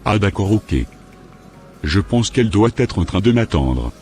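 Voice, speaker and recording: Low-pitched straying husband, acted by a man. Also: big pitch swings, measured pace, darkish tone, faint music behind. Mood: evasive. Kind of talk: scripted cartoon dialogue.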